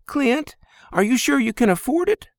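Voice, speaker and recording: A woman's voice: sits high, big pitch swings, moderate pace, no room sound, studio quality, pitched above the performer's own voice. A man voicing a young, blunt stable girl with a clipped country drawl. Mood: plainspoken.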